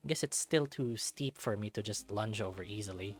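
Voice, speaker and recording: A man reading a visual novel aloud in an expressive way, dropping in casual asides of his own. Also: lowish voice, normal speed, slightly rough, soft background music.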